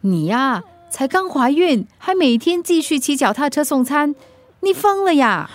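An actress playing a caring, gently nagging friend in a radio drama, reassuring and solicitous, with a Singaporean accent. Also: female voice, sits high, big pitch swings, unhurried. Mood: fond scolding.

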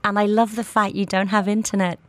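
A woman's voice: medium pitch, level tone, fairly quick, dry recording. A woman hosting a radio talk show, chatty and enthusiastic as she talks with her guests. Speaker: radio host. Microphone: studio microphone.

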